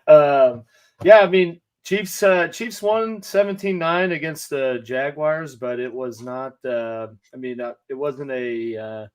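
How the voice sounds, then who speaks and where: A man appearing as a guest sports writer on a casual podcast over a video call, measured and hedging with "um" and "you know". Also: medium pitch, clear, resonant tone.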